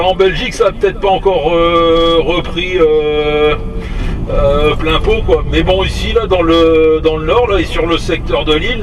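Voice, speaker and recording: A man chatting casually as a CB radio operator, in a hesitant, rambling way. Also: on the high side. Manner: hesitant, rambling, tag words at phrase ends. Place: inside a moving vehicle. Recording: distant mic, steady vehicle noise.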